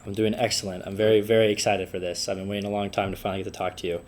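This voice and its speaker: An eager young man hosts a casual podcast chat in a lowish voice. He is from the Bay Area and talks with plenty of ums and likes. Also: little pitch movement, racing, a little mellow, slight background noise. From San Francisco Bay Area. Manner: eager, chatty, filler-heavy. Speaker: podcast host.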